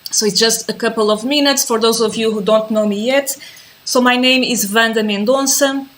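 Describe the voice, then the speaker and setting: Calm woman teaching a live class. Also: on the high side, moderate pace, a little hiss or hum. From Azores, Portugal. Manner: calm, patient, repeats herself while waiting for viewers. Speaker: yoga and mindfulness teacher. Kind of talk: live-streamed class introduction.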